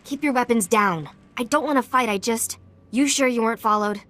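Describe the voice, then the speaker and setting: A woman's voice: fairly high pitch, brisk, heavy background noise, natural voice. A woman playing a frightened but earnest former rebel in a video game, hesitant and restarting her sentences. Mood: nervous, earnest.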